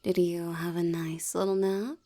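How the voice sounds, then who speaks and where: A woman's voice: fairly low pitch, level tone, unhurried, warm tone. A woman voicing a seductive, teasing female vampire in an ASMR audio roleplay, often dropping into a whisper.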